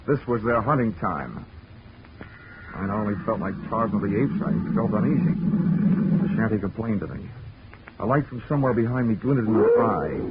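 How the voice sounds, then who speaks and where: A man's voice: medium pitch, background noise, natural voice. An actor playing a wisecracking male insurance investigator who narrates his own case, in a 1940s radio drama with a dry, wry delivery. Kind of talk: 1940s radio acting, first-person narration. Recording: dull 1940s radio recording with music.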